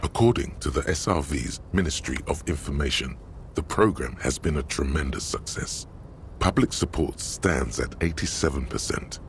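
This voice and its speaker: Cerebral game narrator, rock-bottom pitch, creaky gravel, deliberate pacing, dry irony. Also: male voice, a little hiss or hum, exaggerated voice. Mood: drily earnest. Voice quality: very deep, gravelly. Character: dry, erudite inner narrator voice of a detective's mind. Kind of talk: scripted narration of a video game. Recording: music behind. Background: game music.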